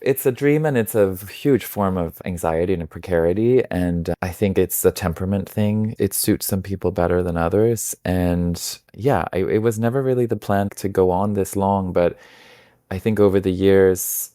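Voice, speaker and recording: A man's voice: low-pitched, normal speed, warm tone, no echo, clean studio sound. A man, answering interview questions in a thoughtful, reflective way. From Denmark. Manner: thoughtful, reflective, with small restarts. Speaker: guest, magazine founder.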